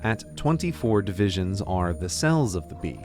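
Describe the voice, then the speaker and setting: A man's voice: low-pitched, very animated, normal speed, darkish tone. A man giving scripted narration in a grand, awed storytelling manner. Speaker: narrator of a played video. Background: music.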